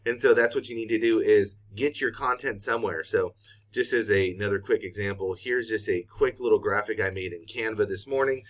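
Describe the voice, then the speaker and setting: A middle-aged man, walking through a software tutorial in a casual, unscripted way as a trainer. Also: fairly low pitch, a little fast. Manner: casual, unscripted, thinking aloud while clicking. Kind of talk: informal screen-share tutorial.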